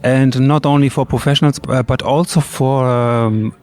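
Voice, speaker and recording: A German man being interviewed in English, not his first language, searching for words with plenty of ums and uhs. His German accent is clear, and his voice sits at an ordinary middle pitch. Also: steady tone, normal speed, darkish tone. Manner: hesitant, many ums and uhs, slightly imperfect English. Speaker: orchestra bassist, guest. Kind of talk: interview answers. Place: outdoor courtyard.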